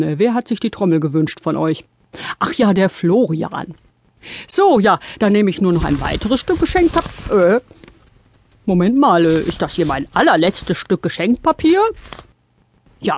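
A man's voice: sits high, slight background noise. A man playing a kindly, flustered old Santa Claus in a children's audio play, hesitant and puzzled, drawing out his exclamations.

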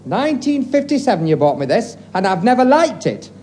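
A man's voice: high voice, sing-song, brisk, mellow. A man voicing a nagging, aggrieved wife who scolds and complains in a 1950s radio comedy monologue. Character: nagging, aggrieved wife grumbling about shabby clothes. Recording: noisy vintage radio recording, audience. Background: studio audience laughter.

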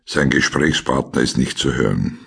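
A man's voice: extremely low pitch, normal speed, gravelly, fairly bright tone, husky. A man narrating a radio play, reading scene directions in a terse, matter-of-fact way.

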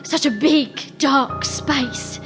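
An actress playing a timid young housemaid in a period radio drama, meek, hesitant and deferential. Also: female voice, high-pitched, drawn-out words, music in the background. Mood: frightened.

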